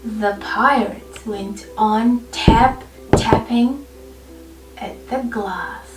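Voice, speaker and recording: A woman reading a children's picture book aloud expressively, with deliberate, dramatic phrasing and pauses. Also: a little high, fairly even intonation. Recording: roomy, distant mic, faint music behind. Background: faint background music.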